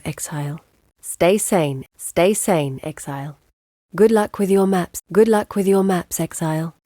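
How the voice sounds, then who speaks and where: Casting: actress as guarded female scholar-explorer for a fantasy game; serious, articulate, measured, close dry studio sound. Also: fairly low pitch, dark tone, no echo, lightly acted. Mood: brisk greeting. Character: guarded, earnest woman scholar-explorer guiding an adventurer. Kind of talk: scripted video game voice acting.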